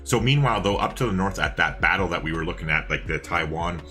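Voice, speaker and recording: Low, bright-voiced Canadian man casting games. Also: lively intonation, fast, soft background music. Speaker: co-caster of a strategy game match. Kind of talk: casual live game commentary.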